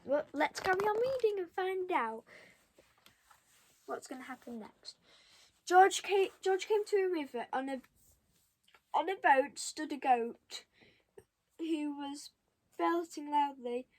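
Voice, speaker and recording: A young child reading a storybook aloud, stopping for halting, chatty asides to the listeners. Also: sits high, lively intonation. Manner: halting, commenting on pictures to listeners. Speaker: storybook reader.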